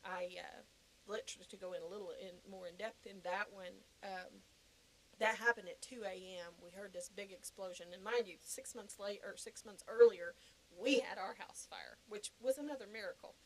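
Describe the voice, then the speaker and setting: A woman's voice: mid-range voice, moderate pace, a little hiss or hum, low-quality recording. A middle-aged woman giving a personal testimony as a podcast guest, talking conversationally and earnestly and repeating phrases for emphasis.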